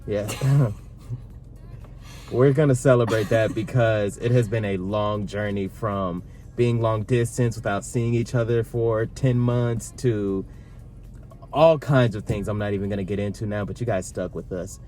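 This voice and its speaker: A man in his thirties co-hosting a casual sit-down Q&A with his partner, talking in a relaxed, rambling way. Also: lowish voice, a little slow, soft background music. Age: 30s. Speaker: vlog co-host.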